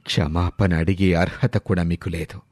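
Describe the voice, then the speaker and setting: Guy doing a stern old rich grandpa, way low voice, slow heavy scolding, storybook audio, dry sound. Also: fairly even intonation, a little mellow, no echo. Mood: stern, angry. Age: older. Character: stern old grandfather, wealthy, wounded and distrustful.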